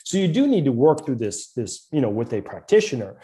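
A man's voice: mid-range voice, very animated, fairly quick, mellow. A man with a Boston accent, a naturopathic doctor explaining hormones and lab testing in an earnest, detailed way as a podcast guest. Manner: earnest, detailed, fluent medical explaining with frequent restarts. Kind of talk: explanatory interview talk.

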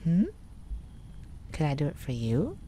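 A woman performs intimate ASMR roleplay in a soft, comforting way. Her delivery is tender and soothing, as if talking someone down gently. She stays close to the microphone. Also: low-pitched, huge pitch swings, unhurried, slight background noise.

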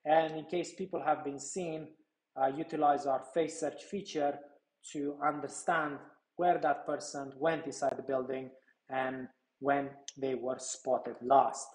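A man in his thirties giving a product demo walkthrough in a measured, explanatory manner. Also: mid-range voice, level tone. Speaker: product presenter. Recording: echoey room sound.

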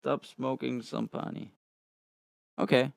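A man's voice: mid-range voice, level tone, takes it slowly, creaky, no echo. A young man on a livestream, chatting casually and thinking aloud as he reads chat, recorded on a studio mic in a small room. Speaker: music reaction streamer. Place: small room with colored lights, indoors. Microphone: studio condenser mic on a stand, headphones on.

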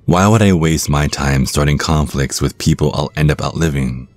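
A man's voice: extremely low pitch, normal speed, no room sound. A man voicing a suave, theatrical vampire stage magician, teasing and pompous, in an ASMR roleplay. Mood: smug, flirtatious.

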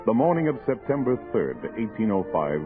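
A man's voice: on the low side, big pitch swings, a little fast. A man with an American accent acting as the solemn, formal narrator of a 1960s frontier radio drama. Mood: solemn, dramatic. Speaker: narrator, announcer. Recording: muffled old radio recording with music.

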